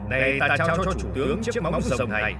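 An actor playing a solemn swamp god who proclaims grandly in an animated historical legend. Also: male voice, mid-range voice, moderate pace, over music, natural voice. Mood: solemn, commanding. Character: solemn male swamp god granting a magic claw.

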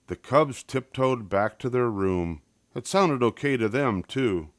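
A man's voice: medium pitch, lively intonation, measured pace, no echo, studio quality. An older man reading a children's picture book aloud as a storyteller. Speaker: storybook reader. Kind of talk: scripted children's storybook narration.

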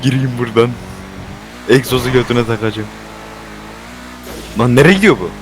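A young man playing a game with a friend, teasing and giving quick directions. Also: medium pitch. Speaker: streamer's gaming partner. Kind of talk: casual gaming banter over voice chat. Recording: noisy game audio behind voice.

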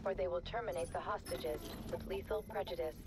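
A woman voicing a cool onboard computer that gives clipped, deadpan instructions in a video game. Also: lowish voice, a little fast, noisy background, close to an everyday voice. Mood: instructive, deadpan. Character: cool female computer assistant of a cyborg soldier. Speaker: game tutorial and objective announcer. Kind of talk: scripted game announcements. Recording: loud music behind.

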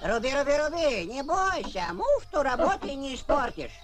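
An actor voicing a bossy, excitable little cartoon gnome with an insistent, exclamatory, commanding delivery. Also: male voice, very high-pitched, moderate pace, very noisy. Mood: urging. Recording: old film soundtrack with loud music.

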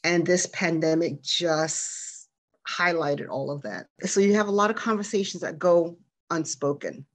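A middle-aged woman speaks as a nurse in an online discussion. Her voice is a little low, and her delivery is reflective. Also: unhurried. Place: plain room indoors. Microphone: computer mic on a video call.

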